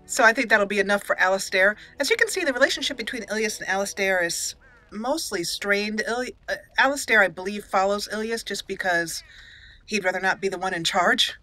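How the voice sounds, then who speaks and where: A woman's voice: medium pitch, a little fast, bright, forceful tone. A woman giving casual, chatty, giggly commentary as host of a roleplay video game playthrough. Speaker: YouTube host doing a roleplay let's play. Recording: game music behind the voice. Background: video game music.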